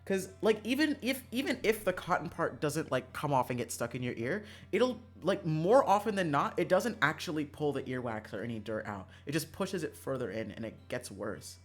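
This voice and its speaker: Animated man chatting on a livestream. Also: on the high side, moderate pace, few pauses, faint music behind. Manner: animated, sweary, emphatic repetition. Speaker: streamer playing a visual novel. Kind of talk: casual chat with stream viewers.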